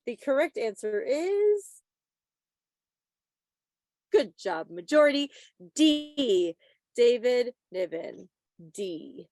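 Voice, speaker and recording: A woman's voice: fairly high pitch, big pitch swings, extremely slow, long pauses, darkish tone, no room sound, clean studio sound. A woman with a playful, teasing, chatty manner, casually presenting a quiz on a video call. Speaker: quiz host at a club meeting. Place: online video meeting.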